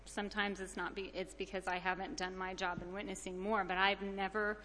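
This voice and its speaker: A woman gives an earnest, plain-spoken testimony at church. Her pitch is middling and stays quite level. The hall adds long reverb, with faint noise behind her. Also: flat delivery, brisk, bright, forceful tone. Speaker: church mission trip member giving a testimony. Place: large indoor church hall. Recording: echoey hall with background noise.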